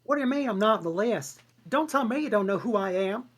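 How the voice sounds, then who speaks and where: A performer voicing a brash, chatty, excitable male donkey in a comedic table read, boisterous and sassy. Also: medium pitch, fast. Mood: indignant, upbeat. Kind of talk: comedic table read of animated film script.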